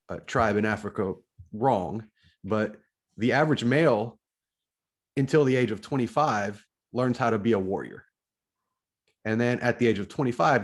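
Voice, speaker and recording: A middle-aged American man with a fairly low voice talks through his ideas as a podcast host. He thinks out loud, restarting phrases and glancing at his notes, in an unhurried, conversational way. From United States. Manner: thinks aloud, often restarting phrases while reading from notes. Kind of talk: casual explanatory monologue.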